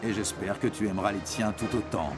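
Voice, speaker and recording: A man's voice: on the low side, steady tone, a little fast. A man narrating an educational cartoon in a playful storytelling way, speaking directly to a young listener. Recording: music under the voice. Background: background music.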